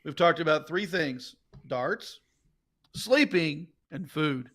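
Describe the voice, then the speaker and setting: A man commentating a darts tournament, teasing his co-hosts and quick to laugh. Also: a little high, lively intonation, bright, forceful tone. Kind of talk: bantering sports commentary.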